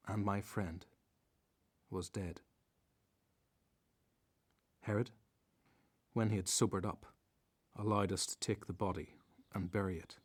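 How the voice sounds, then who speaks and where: Low-voiced, solemn disciple played by a man narrating. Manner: solemn, measured. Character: reflective, devoted disciple telling his story. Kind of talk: dramatized first-person narration.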